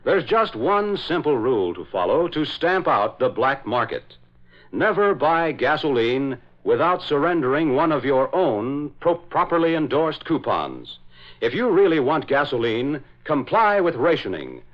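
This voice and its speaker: A man's voice: a little high, very animated, a little slow. A man announcing and narrating in formal, emphatic, dramatic 1940s radio style. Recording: dull vintage radio recording.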